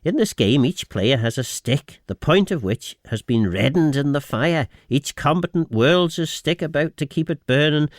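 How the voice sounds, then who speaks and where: A man's voice: a little high, lively intonation, moderate pace, few pauses, no echo, studio quality. A man presenting on radio, reading and explaining dictionary entries in a chatty way.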